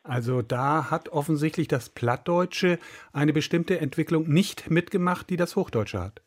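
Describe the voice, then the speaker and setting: A man's voice: a little high, moderate pace. A man co-hosting a radio interview, asking plain conversational questions. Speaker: radio co-host.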